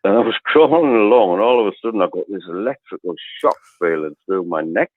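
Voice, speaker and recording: Deep-voiced man on a muffled phone line. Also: expressive, fast, no echo. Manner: chatty, rambling, laughs through his stories. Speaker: caller. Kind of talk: casual phone-in storytelling.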